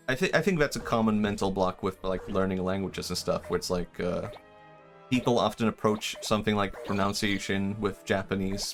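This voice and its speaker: Low-voiced Swedish streamer, rambling chat. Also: male voice, gravelly. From Sweden. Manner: rambling, self-deprecating, laughs often. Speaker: game streamer. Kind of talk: casual live-stream chat while gaming.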